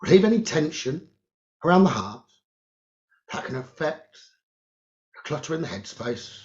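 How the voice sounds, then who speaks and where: A man leading a guided meditation as a teacher, giving gentle instructions in short phrases with long pauses. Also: fairly high pitch, sing-song. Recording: roomy echo, dull lo-fi sound.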